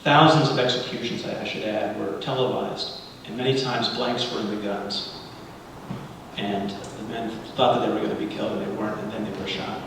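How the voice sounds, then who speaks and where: A middle-aged man from Cuba, a native Spanish speaker, giving a sermon-like address in an earnest, deliberate way with pauses between phrases. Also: on the low side, fairly even intonation, fairly bright tone. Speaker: guest speaker leading a congregational service. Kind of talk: sermon-like public address with readings. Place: large reverberant indoor hall, speaking to a congregation. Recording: very echoey hall, distant mic, light noise.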